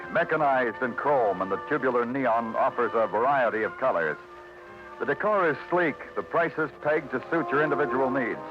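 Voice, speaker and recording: A man's voice: mid-range voice, natural voice. An American actor playing a hard-boiled, dryly sardonic male New York police detective in a vintage noir radio drama. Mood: wry, brooding. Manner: dry, sardonic, clipped questioning. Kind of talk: 1940s-50s noir radio acting and narration. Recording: muffled vintage radio recording.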